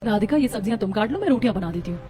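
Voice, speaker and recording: A woman playing a protective elder sister-in-law in a cartoon, calm, firm and guiding. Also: medium pitch, racing, natural voice.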